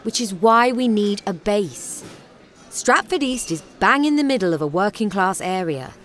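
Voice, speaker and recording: Woman as a commanding, animated theatre director. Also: medium pitch, moderate pace, natural voice. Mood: persuasive. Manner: decisive, commanding. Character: firm, idealistic female theatre director. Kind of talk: audio drama acting.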